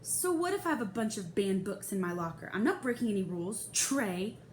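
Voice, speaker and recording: A woman's voice: mid-range voice, very animated, a little fast, natural voice. A woman voicing an indignant, defiant young schoolgirl in a storybook reading. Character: indignant quiet schoolgirl, rehearsing a defiant comeback. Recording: echoey room with faint hiss.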